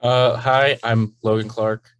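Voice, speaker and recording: A man's voice: mid-range voice, little pitch movement, slow, clean tone. A young man, a college freshman, speaking as a guest referee in a casual manner. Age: young adult.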